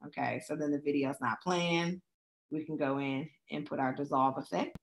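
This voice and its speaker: A chatty woman walks through a tutorial at an easy pace. Her voice sits a little low and has a slight rasp. She keeps checking in with her listeners as she goes. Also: steady tone, normal speed, poor audio. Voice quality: raspy. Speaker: travel agent teaching video making. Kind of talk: screen-recorded tutorial walkthrough.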